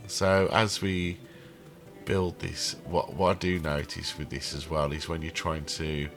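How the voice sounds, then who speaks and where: A man's voice: extremely low pitch, level tone, measured pace, a bit bright, faint music behind. A man with a cold and a throaty voice, rambling with many ums as he comments casually over his game building. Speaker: channel host building a theme park in a game.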